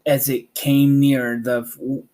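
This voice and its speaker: Slow-reading man narrating a storybook. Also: mid-range voice, level tone, warm tone. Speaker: host reading a book aloud.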